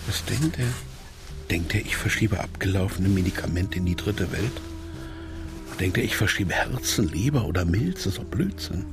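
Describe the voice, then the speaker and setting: The panicky inner thoughts of an indignant captive in a radio play. The low voice swings widely in pitch over prominent music. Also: male voice, lively intonation, normal speed. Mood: bewildered, indignant. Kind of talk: radio drama acting. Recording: music behind the voice.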